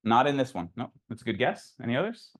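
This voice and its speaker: A man's voice: medium pitch, very animated, quick pace. A man giving an informal academic lecture, explaining in a conversational way and thinking aloud as he takes questions. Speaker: lecturer presenting a technical talk.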